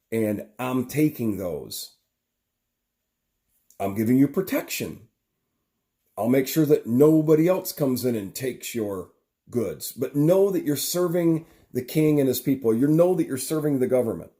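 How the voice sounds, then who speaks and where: A man's voice: mid-range voice, dark tone. A man giving an earnest, rambling political monologue with many restarts.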